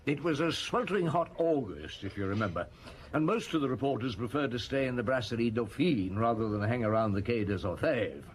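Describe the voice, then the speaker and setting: Casting: male actor as seasoned, wry chief inspector for radio drama, very animated delivery, faint noise on the recording. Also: medium pitch, a little fast, lightly acted. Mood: reminiscing. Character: weary, wry French police chief inspector recalling old cases. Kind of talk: radio drama dialogue and reminiscence.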